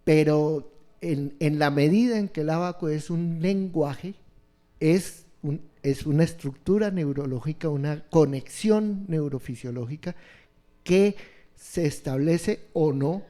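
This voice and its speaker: Man, slightly high voice, dark mellow tone, halting, frequent restarts. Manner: slow, halting, many restarts and fillers. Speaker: education expert guest. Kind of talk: explanatory interview answers.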